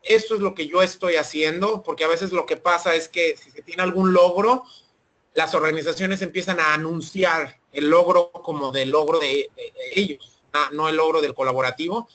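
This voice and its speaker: High-voiced man explaining, many restarts. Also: fairly even intonation, normal speed, a bit bright. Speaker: webinar presenter. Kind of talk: online seminar Q&A.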